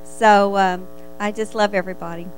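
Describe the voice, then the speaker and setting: A middle-aged woman with a Texas accent, a pastor's wife speaking in church in a halting, heartfelt way. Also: medium pitch, fairly even intonation, background noise. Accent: Texan. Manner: halting, heartfelt, with restarts and soft laughs. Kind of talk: church testimony.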